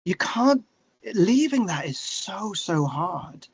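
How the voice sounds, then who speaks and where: A man with an English accent talking candidly and reflectively as an interview guest, searching for his words in a halting way. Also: high-pitched, big pitch swings, breathy edge, dull audio.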